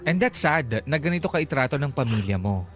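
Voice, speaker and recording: A man's voice: mid-range voice, big pitch swings, a little fast, fairly bright tone. A Filipino man hosting a radio advice show, talking conversationally and asking probing, reflective questions. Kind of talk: conversational advice interview, code-switching. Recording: radio broadcast with music underneath. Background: background music.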